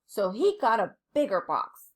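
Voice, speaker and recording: A woman reading a children's storybook aloud like a teacher, expressively and deliberately, with dramatic pauses. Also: mid-range voice, very animated.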